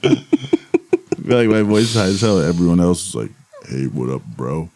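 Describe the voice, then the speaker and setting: A man's voice: low-pitched, big pitch swings, normal speed, warm tone. A man co-hosting a podcast who jokes around in casual chat and mocks his own voice. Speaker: podcast co-host.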